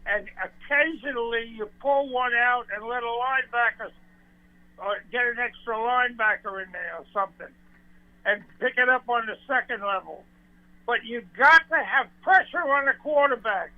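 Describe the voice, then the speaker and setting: A man's voice: very high-pitched, moderate pace. A man in his eighties calling in to a radio show, insistent and rambling as he makes his point. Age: 80s. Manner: insistent, rambling, frustrated fan. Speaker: caller. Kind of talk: radio call-in. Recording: tinny phone line.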